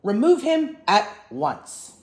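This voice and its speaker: A furious department store manager, acted out by a woman reading a storybook, pompous and snappish. He gives orders in an indignant, emphatic way, with big swings in pitch, in a roomy, echoing space. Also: wildly swooping pitch, slow pace. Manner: gasping indignant, commanding.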